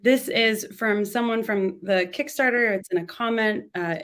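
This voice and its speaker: Raspy-voiced woman from Quebec. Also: mid-range voice, normal speed, a bit bright. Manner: easygoing, reads listener questions aloud. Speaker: co-host and podcaster. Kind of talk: casual conversational chat.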